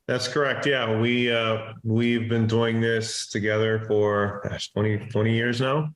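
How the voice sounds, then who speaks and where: A man in his forties from Hawaii, a festival promoter telling his story in a relaxed, rambling way with many fillers and restarts. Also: medium pitch, unhurried. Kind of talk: casual interview storytelling. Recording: roomy echo.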